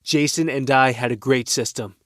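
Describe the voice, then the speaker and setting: A man acts out a working-class teenage boy with a loyal streak and a load of guilt. He talks earnestly and firmly, in a mid-pitched voice. The sound is dry, as in a studio audio drama. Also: normal speed, a bit bright, no room sound, lightly acted. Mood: guarded, regretful.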